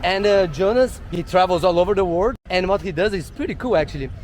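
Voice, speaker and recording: Brazilian guy doing a travel vlog, slightly high voice, street noise all around. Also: normal speed. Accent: Brazilian Portuguese. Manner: chatty, asks locals simple questions. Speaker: travel vlogger. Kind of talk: casual travel vlog narration. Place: outdoors on city streets. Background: street and traffic noise.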